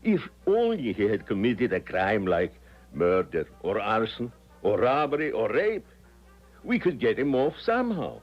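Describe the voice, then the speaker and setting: An actor playing a regretful, formal, apologetic bureaucratic official in a 1970s radio drama. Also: male voice, mid-range voice, sing-song, measured pace, soft background music. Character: regretful, bureaucratic Russian official sentencing a prisoner. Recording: dull lo-fi radio recording.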